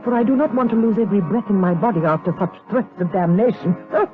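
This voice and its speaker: A woman's voice: medium pitch, expressive, fast, lightly acted. Woman acting talkative older aunt, fussy, mannered period speech, gothic drama, thin radio-like audio, music. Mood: disapproving. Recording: muffled radio-like sound with music behind.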